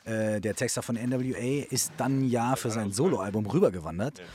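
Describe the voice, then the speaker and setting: A man's voice: medium pitch, moderate pace, a little mellow, slightly rough. A man co-hosting in casual, slangy, colloquial German chat. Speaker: co-host. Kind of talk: casual chat, paraphrasing interview clips in German.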